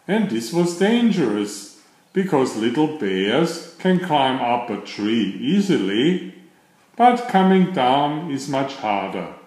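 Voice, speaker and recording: A man reading a children's book aloud as narrator, with expressive, deliberate phrasing. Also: mid-range voice. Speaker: storybook reader. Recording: roomy, echoing sound.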